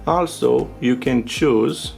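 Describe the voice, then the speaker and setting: Gravelly-voiced man giving a gadget walkthrough. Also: mid-range voice, slow. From Romania. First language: Romanian. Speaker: tech reviewer. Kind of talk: casual unscripted product overview commentary. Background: music with guitar behind the voice.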